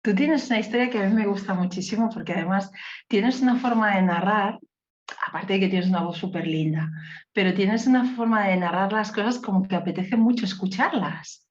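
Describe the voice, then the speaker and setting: A woman's voice: medium pitch, brisk. A woman podcast host with a middle-range voice, asking her questions in an affectionate, encouraging way. Her sound is muffled and lo-fi, as if she is coming in from a remote line. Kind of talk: conversational interview.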